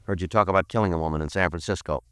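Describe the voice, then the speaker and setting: An actor playing a terse, deadpan American police detective who narrates a 1950s radio crime drama. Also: male voice, low voice, rapid-fire, no echo. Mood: stern, pressing. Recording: vintage 1950s radio recording.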